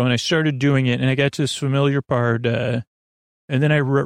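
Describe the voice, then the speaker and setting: Gravelly-voiced man rambling gently at bedtime. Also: medium pitch, no room sound, studio quality. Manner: meandering, halting, self-interrupting sentences that trail off; gentle, reassuring, conversational. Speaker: podcast host. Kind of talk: rambling, soothing bedtime podcast monologue.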